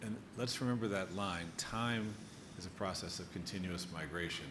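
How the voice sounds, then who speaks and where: Low-voiced male moderator in echoey room. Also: normal speed. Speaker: interviewer, moderator. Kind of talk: onstage conversation hosting. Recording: echoey room, clear background noise.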